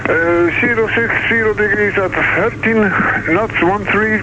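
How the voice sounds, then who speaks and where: A man working as an airport flight information officer, giving halting, procedural instructions over aviation radio. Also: sits high. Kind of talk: air traffic radio instructions. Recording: muffled radio over loud aircraft noise. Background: aircraft engine noise.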